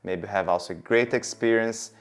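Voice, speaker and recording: A man's voice: lowish voice, fairly even intonation, moderate pace. A man in his thirties from Brazil, giving long, earnest interview answers with many fillers. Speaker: guest.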